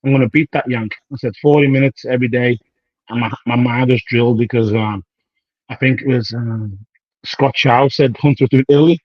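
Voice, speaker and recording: A man's voice: mid-range voice, fairly even intonation, brisk, no room sound. Male bodybuilder, 30s, loose slangy rambler who re-enacts dialogue; dull, dry capture. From United Kingdom. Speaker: professional bodybuilder guest. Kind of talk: casual interview chat.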